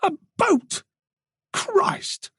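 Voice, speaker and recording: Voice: high-pitched, big pitch swings, slow, dry recording, clean studio sound, pitched above the performer's own voice, heavily acted. A narrator voicing a distraught, fiery policewoman who pleads urgently and breaks off mid-sentence in an audiobook. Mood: shocked.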